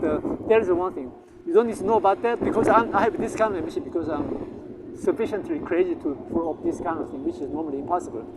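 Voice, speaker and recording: Korean man talking over loud outdoor noise. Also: sits high. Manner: halting, many fillers and repeated words, boastful. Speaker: vlogger promoting language courses. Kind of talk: unscripted talk to camera.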